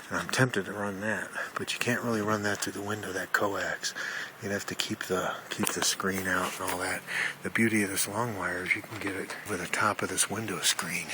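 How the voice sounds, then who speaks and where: A man with a fairly low voice gives a casual, folksy walk-through in the manner of a hobbyist. He rambles as he explains, speaking into a handheld camera outdoors. The recording carries clear background noise. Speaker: amateur radio hobbyist. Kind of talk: casual handheld video tour. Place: outdoors in wooded mountain country. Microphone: handheld camera microphone. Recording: noisy outdoor camera audio.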